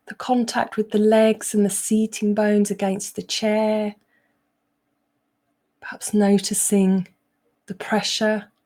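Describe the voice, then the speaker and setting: A woman meditation coach with a relaxed, mellow tone and a middle-range pitch. She speaks slowly, pausing often between short phrases. Her guidance is gentle and instructional throughout. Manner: gentle, unhurried, instructional with frequent pauses. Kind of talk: guided meditation.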